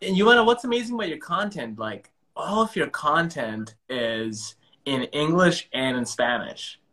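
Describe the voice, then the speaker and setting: A man's voice: fairly high pitch, expressive, normal speed, bright, forceful tone. A man whose first language is Punjabi hosting a live-stream interview, enthusiastic and often repeating words as he starts sentences.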